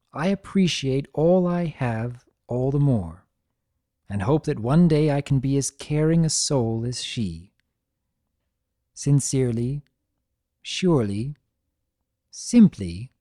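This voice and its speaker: A performer voicing a thoughtful, grateful young female pony reading a diary entry in a gentle, measured, sincere way. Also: medium pitch.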